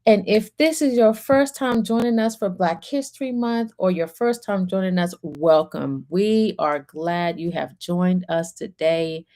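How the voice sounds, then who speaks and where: A woman hosting a storytime as a reverend, speaking in measured short phrases as she welcomes, guides and reads aloud. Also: on the low side, mellow. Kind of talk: gentle guided centering and picture book read-aloud.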